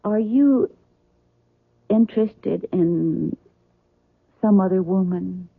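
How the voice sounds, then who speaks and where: An actress playing an earnest, anxious young wife with a direct but hesitant way of asking, in an old-time radio drama. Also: female voice, medium pitch, slow, gravelly, husky, phone-quality sound, exaggerated voice. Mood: probing, hurt. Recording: thin, band-limited old radio recording.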